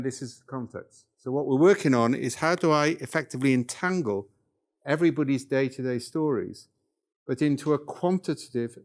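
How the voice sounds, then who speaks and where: An older man from Wales giving a live workshop lecture, talking it through conversationally and checking in with the room. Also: mid-range voice. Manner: conversational, checks in with "yeah?" and "right?".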